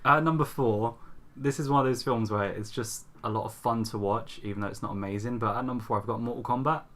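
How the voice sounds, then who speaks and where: A man's voice: medium pitch, fast, fairly bright tone. A man co-hosting a podcast, chatting casually and bluntly about his opinions on films in an informal, sweary way. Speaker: podcast host presenting a top five list. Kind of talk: casual film chat.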